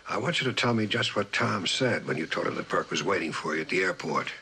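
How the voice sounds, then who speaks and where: An actor playing a brisk, take-charge, insistent man in a mid-century television drama. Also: low voice, lively intonation, vocal fry, bright, forceful tone, breathy edge, heavily acted. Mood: urgent, probing. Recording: very noisy old television soundtrack.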